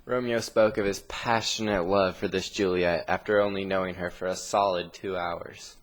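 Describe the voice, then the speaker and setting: A man reading a scripted story narration with dramatic pauses, in a noisy amateur recording. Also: deep voice, fairly even intonation.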